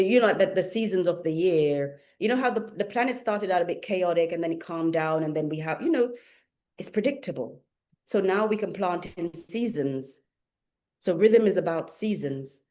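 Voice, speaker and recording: A woman with a Jamaican accent who lives in England, a spiritual teacher talking as a guest in a casual conversation, playful and quick to laugh. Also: lowish voice. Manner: laughs often, playful and animated.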